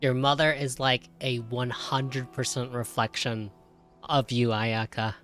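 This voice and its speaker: A man's voice: mid-range voice, level tone, measured pace, fairly bright tone, faint music behind. A young man giving casual, slangy live reaction commentary while streaming a video game.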